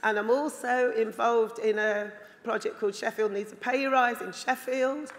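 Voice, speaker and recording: A woman with a hoarse, worn-out voice giving an earnest, rambling speech as a delegate at a party conference, with many ums. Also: a little high, steady tone, normal speed. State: hoarse, lost voice from shouting. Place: large conference hall. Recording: long hall reverb with crowd murmur.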